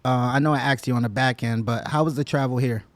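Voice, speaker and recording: A male podcast host who asks questions in an easy, friendly way and keeps tossing in "man." His voice sits at a middle pitch and sounds close and dry, with no room around it. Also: fast, dry recording. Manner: laid-back, friendly questioning, says "man" often. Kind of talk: casual interview hosting.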